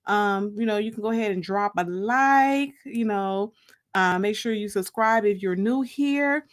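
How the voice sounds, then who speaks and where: A woman's voice: mid-range voice, measured pace. An American woman from Detroit hosting a livestream and reading aloud from her own book as its author. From Detroit, United States.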